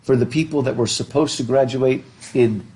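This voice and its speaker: A middle-aged man lectures a classroom in front of a blackboard, his mellow voice thick from a stuffed up nose. He jokes, laughs and asks the students questions as he goes. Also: medium pitch, normal speed. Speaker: professor. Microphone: laptop webcam.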